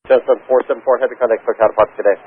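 A man working as a tower air traffic controller, issuing clearances over aviation radio. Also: mid-range voice, steady tone, very fast, phone-quality sound. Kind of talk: rapid clearances in standard phraseology.

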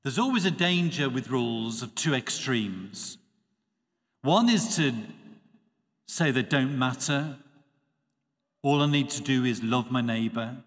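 A man, a priest giving a measured, expressive Sunday homily at a church lectern. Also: mid-range voice. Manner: measured, expressive preaching with dropping phrase ends. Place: church sanctuary. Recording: reverberant church acoustics.

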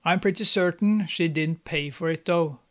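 Higher-voiced man narrating a snarky movie recap. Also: moderate pace. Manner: snarky, mocking commentary. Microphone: studio mic, on camera.

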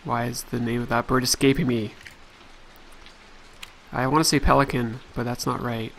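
A man's voice: medium pitch, fairly even intonation, moderate pace, vocal fry, fairly bright tone, slight background noise. Chatty man doing casual game commentary. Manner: chatty, thinking aloud. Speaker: let's play host.

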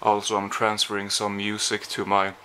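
A man's voice: fairly low pitch, completely flat delivery, moderate pace, sharp tone, a little hiss or hum. A young man with a Swedish accent, vlogging casually from his small apartment with a handheld camera, rambling and self-deprecating. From Sweden. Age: young adult. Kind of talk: casual vlog. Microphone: handheld camera at arm's length.

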